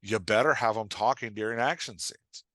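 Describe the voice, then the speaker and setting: A man's voice: on the low side, normal speed, fairly bright tone, dry recording. A man talking casually as a podcast guest and writing coach, making points emphatically and acting out imagined lines. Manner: emphatic, acts out imagined lines, checks with "right?". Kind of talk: casual podcast advice talk.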